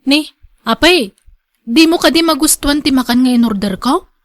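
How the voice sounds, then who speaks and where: An actress playing a caring but quickly irritated young girlfriend in an Ilocano radio drama, expressive and direct. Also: sits high, no echo, studio quality. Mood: mildly impatient.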